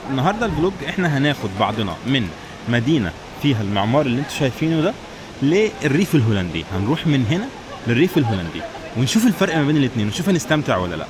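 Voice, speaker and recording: A man with an Egyptian Arabic accent chatting casually and informally to viewers as a travel vlogger. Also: medium pitch. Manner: informal, addresses viewers directly. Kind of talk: casual vlog chat to camera. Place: outdoors in town streets. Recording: clear outdoor street and traffic noise.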